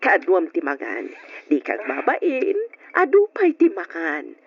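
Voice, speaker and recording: A woman with an Ilocano accent playing the lady of a household, theatrical and expressive, in old radio melodrama style. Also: lowish voice, takes it slowly, breathy edge, full character voice. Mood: kindly. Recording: dull old radio recording.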